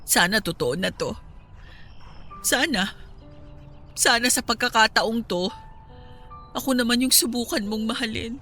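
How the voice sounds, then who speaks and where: Wounded filipino wife in a radio drama, firm and guarded, bright sharp voice, music behind. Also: female voice, on the high side, unhurried, pauses often. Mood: guarded, wistful. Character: hurt pregnant wife, firm and guarded with her cheating husband.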